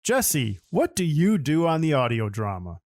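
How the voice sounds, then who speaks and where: A man's voice: on the high side, big pitch swings, measured pace, darkish tone, dry recording, studio quality. A man hosting a podcast, interviewing guests in a casual conversational style. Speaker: podcast host.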